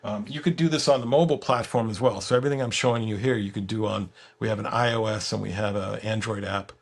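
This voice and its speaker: A man with a gravelly, husky voice giving a casual software walkthrough as the guest, halting and repeating words as he explains. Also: on the low side, fairly even intonation. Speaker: software creator giving a product demo as guest. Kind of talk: casual screen-share walkthrough.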